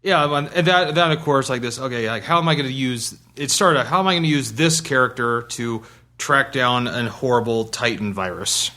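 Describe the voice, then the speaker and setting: A man's voice: mid-range voice, moderate pace, bright, forceful tone. A man chatting casually as a podcast co-host, informal and sweary, with broken, restarted sentences. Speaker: podcast co-host and game player. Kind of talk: casual chat.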